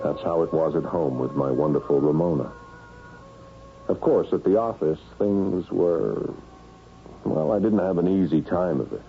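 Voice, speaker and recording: Meek husband, tinny radio. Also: male voice, rumbling low, moderate pace, gravelly. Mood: wistful, narrating. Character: meek, put-upon husband and office worker confessing murder. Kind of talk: radio mystery acting with first-person narration. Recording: tinny, hissy old radio broadcast recording.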